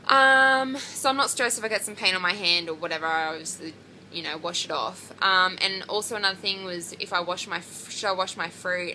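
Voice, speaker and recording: A woman's voice: fairly low pitch, vocal fry, sharp tone, a little hiss or hum, poor audio. A woman, chatting casually to camera about her pregnancy in a rambling way with lots of ums and likes. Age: 20s. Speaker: pregnancy vlogger.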